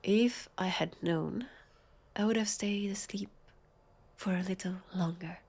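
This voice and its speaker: Woman acting as world-weary vampire policewoman, French accent, husky, unhurried delivery, dry sarcasm, intimate roleplay. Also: medium pitch, a little mellow. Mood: weary, sardonic. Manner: sardonic, sighing, unhurried. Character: sardonic, weary centuries-old female vampire police officer. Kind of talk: intimate scripted audio roleplay addressed to listener.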